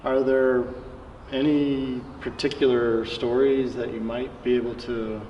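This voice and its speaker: A man's voice: medium pitch, flat delivery, a little slow, mellow, muffled recording. A man conducting an interview, asking questions in a conversational way. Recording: echoey room from far off, faint noise.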